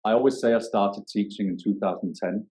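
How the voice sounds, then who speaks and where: A man, a yoga teacher talking thoughtfully in a podcast interview, often pausing and restarting as he searches for words. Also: fairly low pitch, warm tone. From Denmark. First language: Danish.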